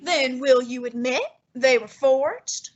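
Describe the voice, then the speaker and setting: A woman playing a fussy, imperious, heavyset male detective in a student radio drama, speaking in a haughty, deliberate, commanding way with a breathy tone. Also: high voice, muffled recording. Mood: interrogating.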